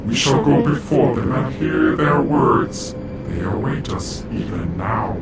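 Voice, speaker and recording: A man playing a cold, calculating lord of an ancient race in a fantasy game, speaking formally with a gravelly voice. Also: medium pitch, takes it slowly, very noisy, lightly acted. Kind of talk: fantasy video game cutscene acting. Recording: loud music under the voice.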